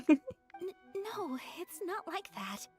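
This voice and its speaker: A high, soft-spoken young noblewoman. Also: sing-song, takes it slowly, soft background music, heavily acted. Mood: flustered, modest. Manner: soft, formal, hesitant. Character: gracious, polite, bashful young noblewoman.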